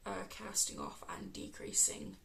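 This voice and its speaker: A woman with a West Midlands accent, hosting a casual crafting podcast, croaky from a cold and filling pauses with ums. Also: a bit bright, a little hiss or hum. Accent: West Midlands English. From England. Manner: chatty, many ums, unhurried.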